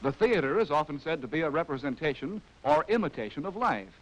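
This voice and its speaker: Animated American man, vintage newsreel-style narrator. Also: fairly high pitch, fast, rough recording. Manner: wry, arch, theatrical phrasing. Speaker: narrator of a military training film. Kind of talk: scripted 1940s documentary narration. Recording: vintage 1940s film soundtrack.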